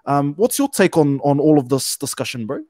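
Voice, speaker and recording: A New Zealand man hosting a panel discussion over a video call, speaking in a measured way with frequent fillers. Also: fairly high pitch, expressive, warm tone, no room sound, studio quality. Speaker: host. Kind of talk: panel discussion, mixing Māori and English. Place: home room, video call.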